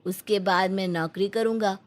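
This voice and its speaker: A woman's voice: medium pitch, fairly even intonation, normal speed, close to an everyday voice. A woman puts on a young adult son in a cartoon family tale. The delivery is earnest and persuasive, that of a devoted child talking to a parent. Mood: reassuring. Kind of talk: dramatized cartoon storybook dialogue.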